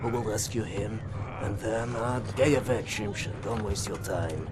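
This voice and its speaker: An actor playing an elderly, eccentric inventor prisoner in a video game, with a halting, musing, ornate way of speaking. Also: male voice, on the low side, very noisy, close to an everyday voice. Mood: wry, musing. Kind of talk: video game cutscene acting. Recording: loud music over the voice.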